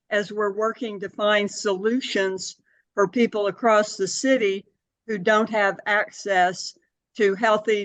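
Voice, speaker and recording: An older woman giving measured, explanatory answers in a conversational interview. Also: mid-range voice, no room sound, lo-fi sound. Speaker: interview guest, city board member and anti-hunger advocate.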